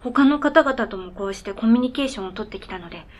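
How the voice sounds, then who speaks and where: A high-voiced, earnest snowmobile that has learned to talk , played by a woman. It speaks in formal, polite sentences with a matter-of-fact manner, as in radio drama dialogue. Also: animated, fast. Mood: deadpan. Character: earnest, polite talking snowmobile with its own identity.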